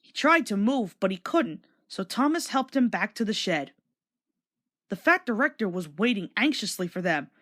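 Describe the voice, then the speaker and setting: A woman's voice: mid-range voice, sing-song, moderate pace, a bit bright. A woman narrating a children's storybook read-along from a script.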